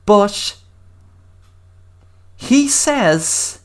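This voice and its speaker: A woman's voice: medium pitch, animated, drawn-out words. An Irish woman hosting a solo podcast, talking in an earnest, emphatic way and repeating words for emphasis. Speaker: podcast host. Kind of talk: solo podcast talk with book review.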